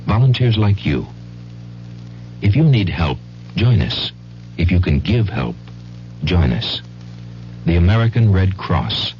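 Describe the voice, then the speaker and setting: A man reading a scripted public service announcement in a solemn, measured way. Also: low voice, a little hiss or hum. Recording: muffled vintage broadcast, faint music.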